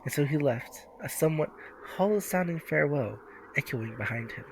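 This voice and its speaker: A man's voice: a little high, expressive, a little fast, gravelly, darkish tone, a little hiss or hum, rough recording. Man reading as earnest teenage schoolgirl heroine. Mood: musing. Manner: wry inner monologue. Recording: music behind the voice.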